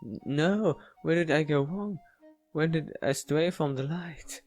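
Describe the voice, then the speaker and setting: A man's voice: fairly high pitch, measured pace, a little mellow, faint music behind, voice pushed up, lightly acted. Man reading as a playful, teasing game character. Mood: mock despair. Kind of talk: reading visual novel dialogue aloud.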